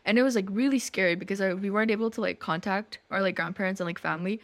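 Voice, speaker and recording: A woman's voice: mid-range voice, racing. Chatty young woman from Nepal. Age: young adult. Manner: chatty, many fillers and restarts. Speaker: co-host. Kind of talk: casual chat.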